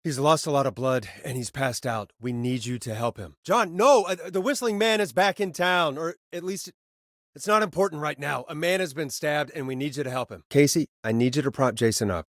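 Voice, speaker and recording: Man acting as weary radio host, video game character, medium-pitched, earnest, conversational, slightly hesitant, steady under pressure, dry studio-close sound. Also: moderate pace, no echo, close to an everyday voice. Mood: urgent, tense, then reassuring, steady. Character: steady, weary radio host guiding callers through an emergency.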